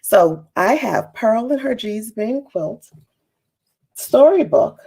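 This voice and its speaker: A woman with a fairly high, very lively voice that has a husky edge. She speaks as a children's author doing an informal, expressive storybook read-aloud. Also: slow pace, poor audio. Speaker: children's author reading their own book aloud.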